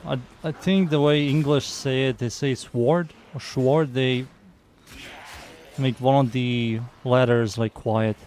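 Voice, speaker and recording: A man's voice: mid-range voice, fairly even intonation, slow, a little mellow, slight background noise. A young man with a Serbian accent, streaming a game and chatting casually, muttering to himself and reading out viewer messages. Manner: mutters to himself, reads chat aloud, easygoing. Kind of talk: casual live-stream chatter while playing.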